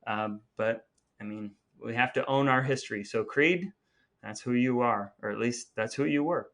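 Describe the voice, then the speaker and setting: A man with a medium-pitched voice co-hosts a podcast. He chats casually and with humour, in a wry, mock-serious manner. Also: normal speed, a bit bright. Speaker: podcast co-host. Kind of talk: casual humorous podcast chat.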